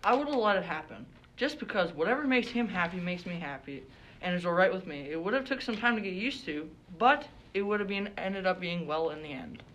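A man's voice: high voice, lively intonation, brisk, fairly bright tone, a little hiss or hum, low-quality recording. A man playing a meek, self-pitying bereaved father with a plaintive way of talking. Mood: mild, accepting.

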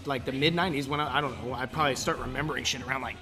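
A man's voice: medium pitch, very fast. Slangy chill dude in his late 20s hosting a podcast, mid-range voice, music in the background. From California. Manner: laid-back and slangy. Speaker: podcast host. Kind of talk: casual chat. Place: bar.

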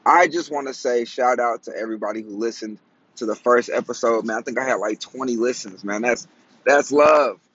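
A man in his thirties hosting a casual solo podcast, with a high, raspy, cracky voice, talking in slang, rambling and laughing at his own jokes. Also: brisk, a bit bright, highs cut off, slight background noise. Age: 30s. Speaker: podcast host.

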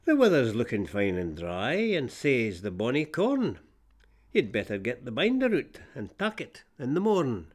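A man's voice: mid-range voice, huge pitch swings, unhurried. A man playing a practical, matter-of-fact farmer with a Scottish accent in a storybook fable told in verse. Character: plain-spoken, practical Scottish male farmer.

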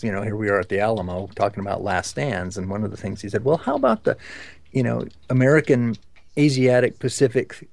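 A man's voice: lowish voice, darkish tone, no echo. Emphatic man, dry close sound. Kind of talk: casual book discussion.

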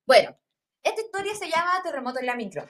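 A Chilean woman in her thirties tells a comic personal anecdote in a casual, rambling way. Her speech is full of slang and swearing, and her voice sits a little high for a woman. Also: sing-song, quick pace, a bit bright. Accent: Chilean Spanish. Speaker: storyteller.

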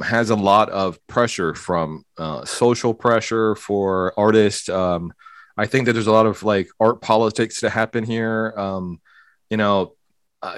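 A man's voice: on the low side, no echo. A man from Hialeah, Florida, hosting a podcast in a laid-back, casual way. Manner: laid-back, says bro a lot. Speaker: podcast host.

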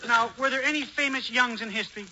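An actor playing a naive, eager, gullible fellow with an earnest, boyish manner in a 1940s radio sitcom. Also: male voice, very high-pitched, quick pace, pushed and bright, full character voice. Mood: earnest, puzzled. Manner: earnest, boyish, easily impressed. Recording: noisy, muffled 1940s radio recording.

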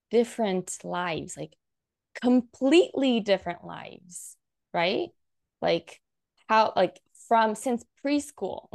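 Young woman guest, gravelly fry in her voice, rambles with tons of likes. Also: mid-range voice, a little mellow. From United States. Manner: rambling, many "like" fillers, frequent laughs. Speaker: guest content creator. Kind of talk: casual chat.

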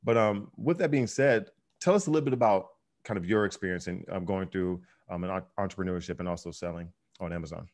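A man's voice: low-pitched, quick pace, hardly any pauses, darkish tone. A man hosting a business podcast in easygoing conversation, with lots of ums and restarts. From United States. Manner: easygoing, many fillers and restarts. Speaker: podcast host. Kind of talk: casual interview chat.

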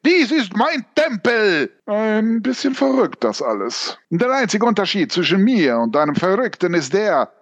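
An eccentric, megalomaniac painter in an audio drama. His voice is very high for a man and swoops theatrically. He declaims with vain pomp and drawn-out words, on a slightly dull recording. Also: sing-song, exaggerated voice. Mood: boastful, manic.

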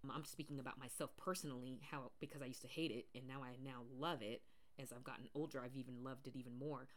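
A woman from the US Pacific Northwest with a deep voice, talking casually and rambling with fillers on her solo podcast. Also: steady tone, fast talker. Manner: rambling, many fillers and restarts. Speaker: podcast host.

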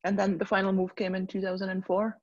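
A woman, a guest talking about her tour business in a thoughtful, measured way. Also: mid-range voice, monotone, dry recording, lo-fi sound. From Czech Republic. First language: Czech. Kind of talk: conversational interview.

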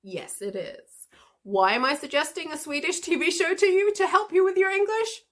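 A woman hosting an English-learning podcast, giving chatty advice straight to the listener in a lively, expressive way. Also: extremely high pitch, moderate pace. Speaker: English teacher and podcast host.

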